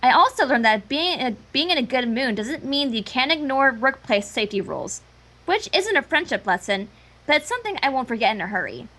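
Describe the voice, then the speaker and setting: A woman's voice: high voice, very animated, brisk, sharp tone, full character voice. A woman voicing an earnest, studious young female pony who is politely reading out a formal letter to her teacher.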